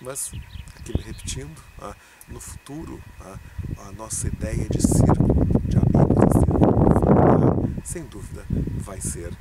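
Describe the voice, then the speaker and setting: A man's voice: fairly low pitch, expressive, normal speed, creaky. A middle-aged man giving an informal answer to camera in a conversational way, with frequent check-in tags. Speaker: storytelling consultant answering questions. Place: outdoors in open countryside by a field. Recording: distant mic, loud wind and outdoor noise.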